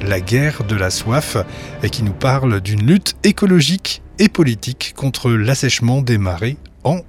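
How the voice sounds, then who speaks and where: A man narrating a news report in a smooth read delivery. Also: mid-range voice.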